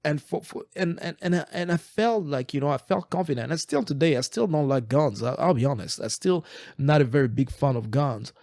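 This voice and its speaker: A man's voice: fairly high pitch, fairly quick, gravelly, a little mellow, no echo, clean studio sound. A man in his forties from Eastern Congo, co-hosting a podcast, talking earnestly in a reflective way and often repeating words as he restarts his sentences. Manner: earnest, frequent word repetitions and restarts. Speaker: podcast co-host. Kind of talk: reflective casual chat.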